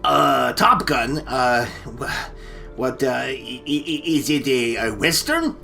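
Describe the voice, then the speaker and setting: A man's voice: medium pitch, animated, slow pace, vocal fry, bright, forceful tone, faint music behind. A man co-hosting a comic movie chat, hesitant and stammering, often repeating his words. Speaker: comedy storytelling co-host. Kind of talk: casual comic movie discussion.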